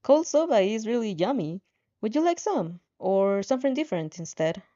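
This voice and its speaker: Eager, nervous young heroine, played by a woman for an audio story; animated delivery, mellow tone. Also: mid-range voice, moderate pace, highs cut off, close to an everyday voice. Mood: cheerful. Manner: gushing, hesitant. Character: cheerful, awkward, eager-to-please young woman.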